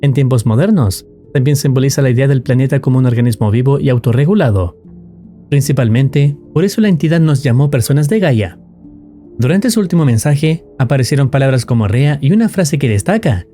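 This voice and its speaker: A man's voice: medium pitch, expressive, a little fast, warm tone, faint music behind. A man reading scripted narration in the role of a storyteller explaining a tale.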